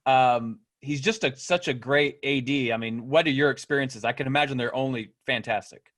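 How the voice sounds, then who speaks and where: A man's voice: medium pitch, moderate pace, fairly bright tone. A man of forty-one co-hosting a podcast, enthusiastic and full of praise as he asks his questions. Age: 40s. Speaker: podcast co-host. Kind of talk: casual podcast interview. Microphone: video call.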